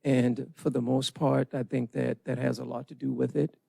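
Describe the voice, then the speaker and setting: Gravelly, husky man in his forties. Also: mid-range voice, level tone, moderate pace, warm tone, no echo. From Charleston, South Carolina. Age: 40s. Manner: casual, earnest, uses ums. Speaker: panelist. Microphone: handheld microphone.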